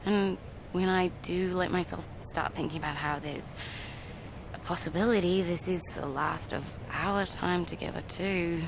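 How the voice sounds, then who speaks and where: A woman voicing a composed, caring young spacecraft engineer in a game, speaking in a measured, naturalistic way with hesitant pauses. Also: lowish voice, steady tone, gravelly, background noise. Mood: vulnerable, intimate.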